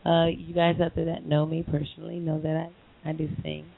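A hoarse woman hosting a live call-in internet radio show, chatting in a rambling way with many fillers and restarts. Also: low voice, monotone, fairly quick. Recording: lo-fi phone line with faint hiss.

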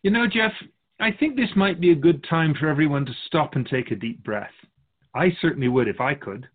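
Through a thin, phone-like band comes a man acting as a wise spirit from beyond, calm and measured. He speaks philosophically in radio drama dialogue, gently teasing the humans he guides. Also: a little high. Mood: gently reassuring. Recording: muffled phone-like narrow band.

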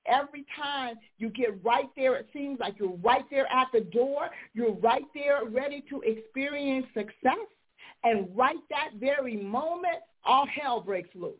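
A woman talk show host preaching with fervor, her voice slow, husky and congested from illness. She comes through a thin, tinny phone line. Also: a little high. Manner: fervent, decreeing, rising to preaching fervor. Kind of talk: faith-based radio talk, preaching.

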